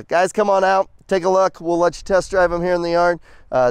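A man in his thirties walks buyers through a lineup on an outdoor dealer lot in an easy, chatty sales pitch. His voice sits a touch high and comes across smooth and clear. The lapel mic keeps it close and dry. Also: dry recording. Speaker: farm equipment salesman. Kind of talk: casual product walkthrough.

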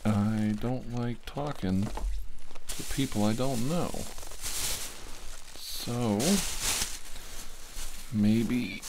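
A man doing an ASMR roleplay as a calm, firm doctor, speaking deliberately and often dropping toward a whisper. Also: mid-range voice. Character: calm, firm male doctor questioning a possessed patient. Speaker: ASMR performer playing a doctor. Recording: clear background noise.

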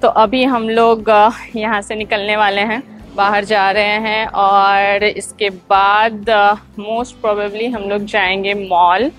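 A woman in her thirties giving a chatty travel vlog tour with a lapel mic, pausing with "uh" as she explains things to viewers. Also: mid-range voice, flat delivery, fairly bright tone, soft background music. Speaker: travel vlogger. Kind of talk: casual travel vlog narration. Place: outdoor theme park. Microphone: clip-on lapel mic.

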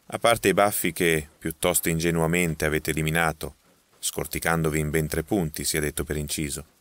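A man doing a phlegmatic, deep-voiced detective. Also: flat delivery, a bit bright, no room sound. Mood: calm. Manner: unhurried, reassuring, dry. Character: calm, phlegmatic male detective.

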